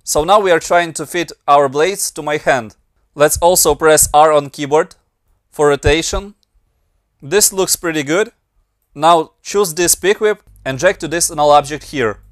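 Ukrainian-accented male tutor, a bit higher voice, very dry close mic, patient step-by-step walkthrough. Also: measured pace, no room sound. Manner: plain, step-by-step instructions with slightly non-native grammar. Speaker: motion designer and tutorial maker. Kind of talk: screen-recorded software tutorial.

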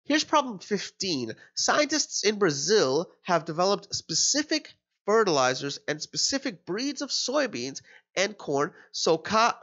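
A man's voice: a little high, a bit bright. A young man tutoring an exam problem in a casual, think-aloud way, a little nasal and stuffy from allergies. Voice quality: slightly nasal. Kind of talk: screen-recorded exam walkthrough. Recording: slightly dull lo-fi sound.

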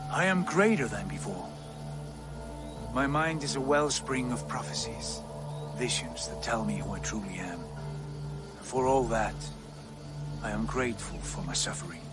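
A man's voice: medium pitch, lightly acted. An actor playing a haughty, wounded Viking lord who believes he is a god, speaking solemnly and grandly in a dramatic video game.

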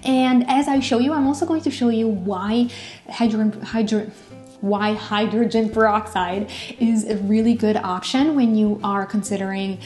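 A woman in her thirties talks casually to a camera set up across her home kitchen, relaxed and chatty, sometimes tripping over a word and trying it again. Her voice sits at an ordinary middle pitch. The room gives it a noticeable echo, and soft music plays faintly underneath. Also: normal speed. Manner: chatty and relaxed, with filler words and frequent self-corrections. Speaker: pet care vlogger. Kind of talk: casual how-to talk to camera. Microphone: camera on tripod at a distance.

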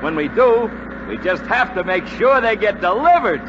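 An actor playing a brave, self-sacrificing American fighter pilot with a clipped, commanding manner in a 1940s radio drama. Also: male voice, sits high, animated, normal speed. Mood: easy, modest. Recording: noisy dull 1940s radio broadcast.

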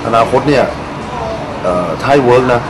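A man's voice: mid-range voice, noisy background. A man in his thirties, a football coach, answering interview questions in outdoor stadium stands, with many fillers. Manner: fast, reflective, many fillers.

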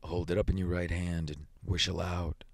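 A man voicing a grave, gruff middle-aged soldier in a story, with a quiet, solemn, dogged manner. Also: low-pitched, flat delivery, moderate pace, dry recording, voice pushed down. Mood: gruff warning.

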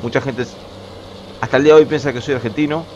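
A man's voice: mid-range voice, fairly even intonation, fast talker, a little mellow. Chatty Uruguayan man, slightly muffled recording. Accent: Uruguayan Spanish. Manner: chatty, rambling, restarts words often. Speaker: gaming YouTuber. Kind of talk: casual commentary while playing a truck-driving game. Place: home. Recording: slightly dull home recording with faint game noise.

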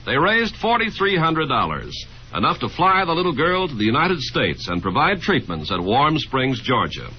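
A man's voice: on the high side, huge pitch swings, moderate pace. A man reading a scripted announcement as a 1950s radio announcer. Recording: dull, noisy vintage radio recording.

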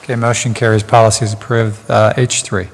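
A middle-aged man chairing a public board meeting, calling motions and votes in a brisk procedural way at a desk mic. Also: fairly low pitch, flat delivery. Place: council chamber, seated at the dais. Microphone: desk gooseneck mic. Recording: echoey chamber with faint noise.

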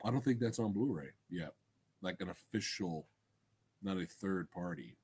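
Animated middle-aged man chatting on a livestream. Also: deep voice, normal speed, husky. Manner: animated, slangy, enthusiastic, swearing. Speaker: livestream host and film reviewer. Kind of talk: casual livestream chat with viewers. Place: small indoor room crammed with collectibles. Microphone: desk microphone close in front.

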